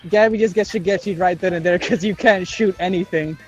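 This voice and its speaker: A man's voice: sits high, a little fast, highs cut off, faint music behind. A young man co-hosting a podcast in casual, improvised banter, joking and swearing and acting out bits of dialogue. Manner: jokey, profane, acts out bits of dialogue. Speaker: podcast co-host.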